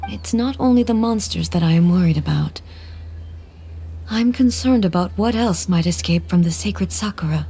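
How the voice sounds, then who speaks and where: A woman playing a dignified female ruler deity in a video game, formal, measured and resolute. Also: on the low side, mellow, faint music behind, close to an everyday voice. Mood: solemn, resolute. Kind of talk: video game character acting.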